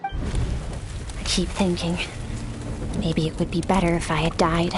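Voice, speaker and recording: An actress voicing a stoic young woman warrior haunted by guilt, speaking in a cool, restrained and quietly weary way in a game cutscene. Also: on the low side, little pitch movement, takes it slowly, background noise. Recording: game audio with loud music.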